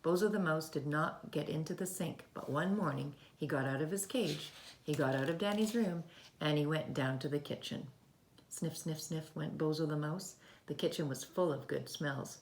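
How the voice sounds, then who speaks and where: A woman reading a children's storybook aloud in an expressive way, talking directly to young listeners. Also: low-pitched, moderate pace, a little hiss or hum, poor audio.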